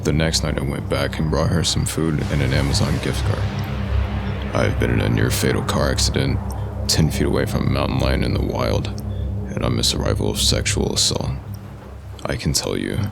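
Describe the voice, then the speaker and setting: Very deep, gravelly male horror narrator. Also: moderate pace, over loud music. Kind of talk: scripted first-person horror narration.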